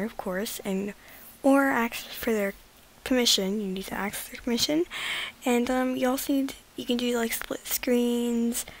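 A teenage girl with a raspy voice, rambling casually through an editing tutorial and slipping into whispers at times. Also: fairly high pitch, low-quality recording. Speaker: gaming video creator.

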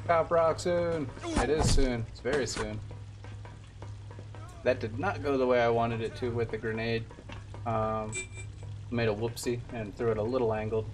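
A man's voice: medium pitch, fairly even intonation, normal speed, long pauses. A man in his twenties giving casual live commentary as he streams a game run. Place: indoor room at a streaming desk. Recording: distant mic, game noise behind. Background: game sound and music.

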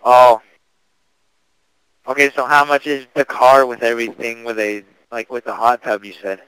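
A young man speaks casually on a prank call. His voice is clear and dryly recorded, with little room sound. He plays the earnest customer, slangy and full of questions. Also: medium pitch, moderate pace, a bit bright, no echo, poor audio. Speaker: prank caller.

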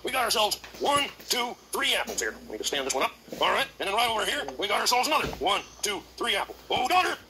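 A generous, folksy puppet king on children's television, exclaiming and counting things aloud. His pitch swings about and he chatters quickly, in an old broadcast re-filmed off a screen with heavy noise. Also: big pitch swings. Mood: pleased. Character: generous, good-natured puppet king who loves apples. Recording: old TV show re-filmed off a screen, noisy, clicky.

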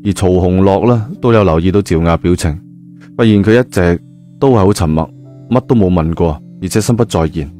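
A man's voice: sits low, brisk, warm tone. A man with a Cantonese accent narrating a novel in a colloquial, conversational storytelling style. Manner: conversational, with frequent spoken particles. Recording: music bed behind the voice. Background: background music.

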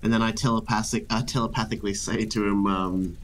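A man's voice: on the low side, fairly even intonation, fairly quick, slight background noise. A man playing a tabletop game, improvising his character's moves in casual chat.

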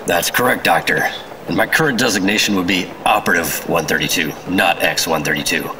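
Low-voiced, deadpan American secret agent played by a man. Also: brisk, background noise, close to an everyday voice. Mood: dry. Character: dry, unflappable male 1950s secret agent. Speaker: narrator and lead actor. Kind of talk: noir radio drama narration and dialogue. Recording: music under the voice.

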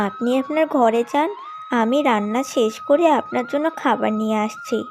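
A woman's voice: a little high, normal speed, a little mellow, lightly acted. A woman voicing a meek, overworked young daughter-in-law in a cartoon. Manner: deferential, pleading. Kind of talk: cartoon story voice acting. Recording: music bed under the voice.

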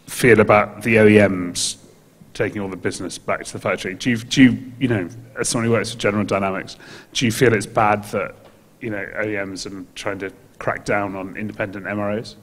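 A man moderates a conference panel in a low voice and asks his questions with dry humor. His handheld mic picks up a long reverb from the large hall. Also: moderate pace.

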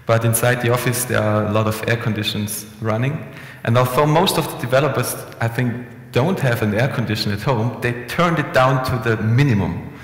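Young man, easygoing conference speaker, gravelly voice, echoey room sound. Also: medium pitch, normal speed, hardly any pauses. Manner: relaxed, conversational, frequent restarts and fillers. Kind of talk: conference presentation. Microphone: headset mic. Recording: echoey hall with long reverb.

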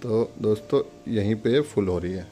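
A man's voice: lowish voice, normal speed, mellow, faint music behind. An Indian man giving a casual step-by-step product demonstration, instructing viewers in a friendly, direct address.

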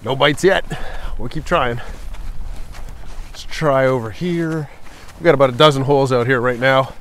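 A man in his thirties chatting excitedly to viewers while ice fishing outdoors on a snowy lake, recorded on a camera mic. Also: mid-range voice, normal speed, a little hiss or hum. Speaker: fishing vlogger. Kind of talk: casual vlog narration.

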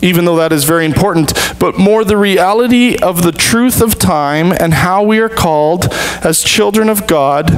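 A man's voice: sits high, clean tone, rough recording. Earnest man preaching at a lectern. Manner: earnest, measured preaching with deliberate pauses. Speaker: pastor. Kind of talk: sermon. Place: church sanctuary stage.

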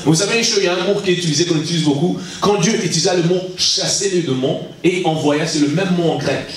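A man in his thirties from Congo, preaching in halting French with many restarts, holding a handheld mic in a large church hall. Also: fairly high pitch. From Congo (Kinshasa). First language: English is his stronger language. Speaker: guest preacher. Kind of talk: sermon to young people. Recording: echoey hall, mic heard from a distance.

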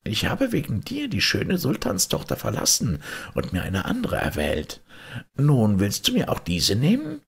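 A man's voice: medium pitch, wildly swooping pitch, measured pace, vocal fry, slightly rough, pitched above the performer's own voice, heavily acted. A man voicing a resentful, menacing well ghost in a storybook, grumbling and scolding. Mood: aggrieved, threatening.

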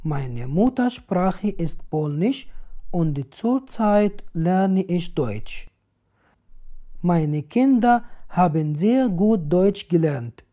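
A woman reading example sentences slowly and deliberately, each one twice, as a language teacher in a voice-over. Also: fairly low pitch, lively intonation, mellow. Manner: slow, deliberate, clearly enunciated.

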